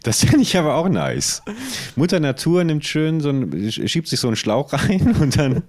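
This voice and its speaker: A man's voice: a little high, lively intonation, normal speed, darkish tone, no echo. A man co-hosting a casual comedic podcast, rambling through absurd ideas in an ironic way. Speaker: podcast co-host.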